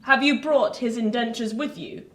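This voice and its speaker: A woman's voice: a little high, normal speed, close to an everyday voice. A woman playing an imperious, morbid old jilted lady in a Victorian radio play, haughty and theatrical. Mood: cold, commanding. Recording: roomy, distant, noisy home recording.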